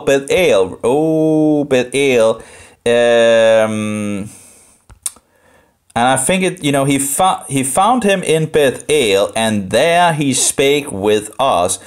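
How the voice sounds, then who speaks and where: A Danish man with a Danish accent thinks aloud through informal Bible commentary, halting and rambling as he repeats phrases. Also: medium pitch. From Denmark. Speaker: live streamer studying the Bible.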